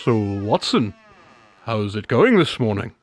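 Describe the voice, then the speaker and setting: A man's voice: fairly low pitch, sing-song, measured pace. A man acting a shrewd, unflappable private detective in a modern radio drama, with a wry, knowing, teasing manner. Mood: smug, teasing.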